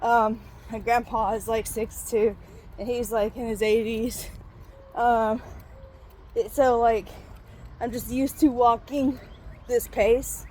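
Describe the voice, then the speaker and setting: A woman's voice: a little high, flat delivery, slow pace, pauses often, dark tone, a little hiss or hum. A young woman with a childlike, slightly nasal voice, out of breath as she walks to work outdoors and chats rambling into her phone for a vlog, with many fillers and restarts. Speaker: vlogger. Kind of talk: casual vlog update while walking. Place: outdoors, walking through a town to work.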